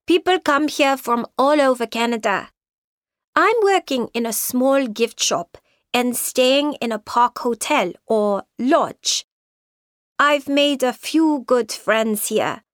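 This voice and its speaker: A woman as a calm young shop worker. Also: fairly high pitch, no echo, clean studio sound. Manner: slow, clear, carefully paced delivery. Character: young German woman working in a gift shop. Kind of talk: scripted textbook listening monologue.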